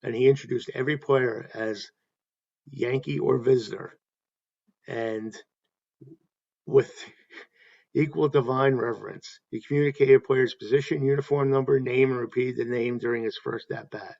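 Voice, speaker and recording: A man hosting a tribute talk, reading anecdotes in a halting way and correcting himself as he goes. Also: medium pitch, fairly even intonation, vocal fry, a little mellow, lo-fi sound. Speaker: host paying tribute to a stadium announcer.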